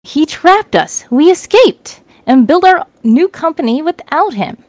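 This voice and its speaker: A woman's voice: high voice, sing-song, measured pace, darkish tone, dry recording, pitched above the performer's own voice. Woman as smug male host, theatrical, storybook mystery, dry close sound. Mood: indignant.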